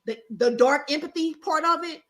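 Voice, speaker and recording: Woman, a little high-pitched, animated, swears, restarts sentences, casual chat. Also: moderate pace. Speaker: co-host.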